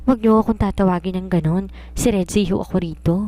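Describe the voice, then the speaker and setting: A woman's voice: mid-range voice, fairly even intonation, fast, warm tone, dry recording, natural voice. A woman with a Filipino accent reading a polite, eager young woman posing as a housemaid in a storybook. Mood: secretive, pleading. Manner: deferential, courteous.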